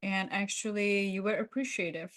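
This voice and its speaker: A woman's voice: medium pitch, steady tone, normal speed, a bit bright. A woman co-hosting a conversational podcast, speaking in an encouraging, affirming way. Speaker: podcast co-host. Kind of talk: roleplay and conversational debrief.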